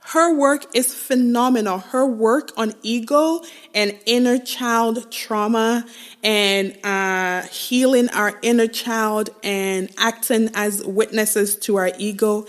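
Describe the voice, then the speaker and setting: Slow, reflective woman podcast host. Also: fairly high pitch, fairly even intonation. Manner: slow, thoughtful, with frequent restarts and soft laughs. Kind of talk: reflective solo podcast monologue.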